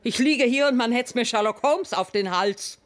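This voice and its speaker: A woman's voice: a little high, normal speed, close to an everyday voice. An actress in a radio crime drama playing an indignant, shaken woman witness, querulous and brusque. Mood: indignant, agitated. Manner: querulous, brusque, easily agitated.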